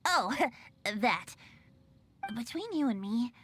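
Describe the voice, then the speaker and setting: High boyish game bard, playful and mischievous, unhurried, really bouncy delivery. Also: female voice, sing-song, fairly bright tone, slight background noise, heavily acted. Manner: playful, breezy. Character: cheerful, mischievous young male bard, secretly a wind god. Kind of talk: video game dialogue.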